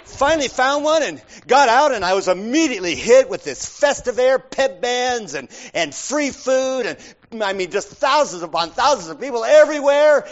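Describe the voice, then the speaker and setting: A middle-aged man preaching a sermon, telling a story with acted-out voices and big swings between loud and soft. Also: extremely high pitch. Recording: dull lo-fi sound.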